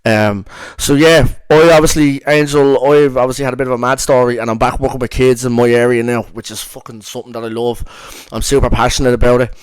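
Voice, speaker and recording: A man's voice: medium pitch, level tone, brisk, hardly any pauses, smooth, rough recording. A young man with a strong Dublin accent, hosting a casual podcast chat, rambling and swearing with lots of fillers. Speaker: podcast host.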